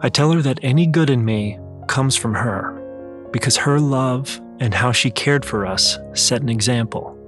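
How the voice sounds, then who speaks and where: Low-voiced man narrating over music. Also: moderate pace, a bit bright. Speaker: essay narrator. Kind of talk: scripted first-person essay narration. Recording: music behind the voice.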